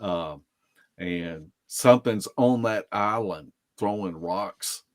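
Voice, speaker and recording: A man telling stories casually as a podcast guest, in a folksy, unhurried way that circles back on itself. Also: low-pitched. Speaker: podcast guest, field investigator. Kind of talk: casual storytelling.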